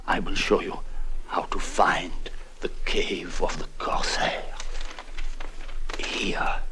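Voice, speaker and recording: An old pirate at death's door in a radio drama. His voice is low, weak and half-whispered. He speaks with secretive urgency. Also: fairly even intonation, slow pace, pushed and bright, a little hiss or hum, exaggerated voice. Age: older. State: weak, dying.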